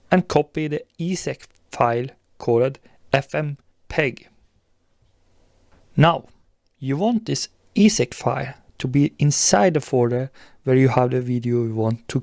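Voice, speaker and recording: A man presenting a step-by-step software tutorial in a gravelly voice. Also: medium pitch, dry recording, studio quality.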